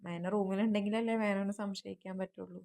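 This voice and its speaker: A woman's voice: mid-range voice, fairly even intonation, fairly quick, vocal fry, warm tone. A woman casually recounting events, as in a private voice message.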